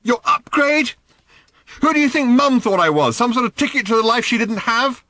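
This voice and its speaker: A man reading an audiobook, voicing an angry, hurt teenage girl who shouts at her father. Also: very animated, moderate pace, dry recording, natural voice. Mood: furious. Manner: shouting, shrill, furious outbursts.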